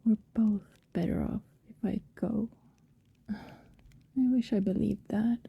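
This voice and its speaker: A woman's voice: mid-range voice, steady tone, dry recording, lo-fi sound, exaggerated voice. Woman playing a whispering, seductive vampire. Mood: tender, wistful. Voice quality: breathy, mostly whispered. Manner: slow, lingering, soothing delivery. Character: tender, possessive female vampire, seductive and wistful. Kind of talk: intimate ASMR roleplay acting. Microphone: very close, dry microphone.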